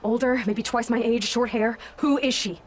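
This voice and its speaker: A woman's voice: on the high side, steady tone, moderate pace, natural voice. A woman playing a stern young huntress in a video game, terse, firm and determined. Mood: demanding. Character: stern young female huntress, determined interrogator, ultimately merciful. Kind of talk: dramatic video game acting. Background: faint background music.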